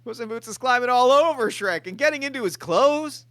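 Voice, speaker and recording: A man reading the stage directions in a table read, in a quiet, matter-of-fact way. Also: very high voice, expressive, fairly quick, no room sound.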